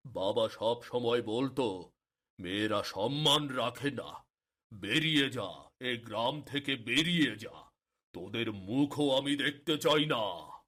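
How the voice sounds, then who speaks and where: An actor playing a proud, harsh middle-aged village landlord father in a cartoon story, with a husky voice and commanding delivery. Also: medium pitch, lively intonation, slow, fairly bright tone. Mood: angry, threatening. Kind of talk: Bengali cartoon story acting.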